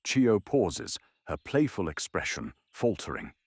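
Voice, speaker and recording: A man's voice: low-pitched, sing-song, measured pace, a little mellow, breathy edge, no echo. A man narrating an audio drama in a dramatic, scripted storytelling style.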